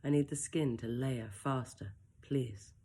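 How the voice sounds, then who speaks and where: Soft-spoken woman reading her own story. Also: very deep voice, a little slow, slightly rough. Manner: soft, emotional and reflective, with brief self-mocking asides. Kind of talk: first-person storybook reading.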